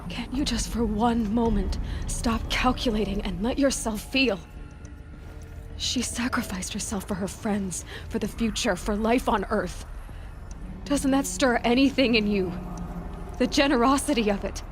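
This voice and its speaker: A woman's voice: on the high side, unhurried, lightly acted. Woman acting an earnest, grieving young heroine. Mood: impassioned. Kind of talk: dramatic game voice acting. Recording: music behind the voice.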